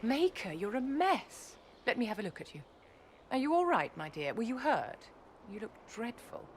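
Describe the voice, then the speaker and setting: A woman playing a poised, imperious noblewoman in a video game, with a haughty, measured, condescending manner. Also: a little high, sing-song, a little hiss or hum. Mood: haughty concern. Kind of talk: video game dialogue acting.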